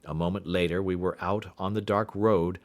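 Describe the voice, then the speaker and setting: A man's voice: deep voice, steady tone, moderate pace. A man reading scripted literary narration as an audiobook narrator.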